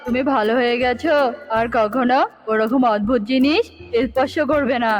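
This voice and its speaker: A woman's voice: on the high side, moderate pace, lightly acted. A woman voicing a brave, devoted young bird in a cartoon, earnest and resolute. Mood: relieved. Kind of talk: cartoon dubbing. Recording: dull lo-fi audio with music bed. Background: music.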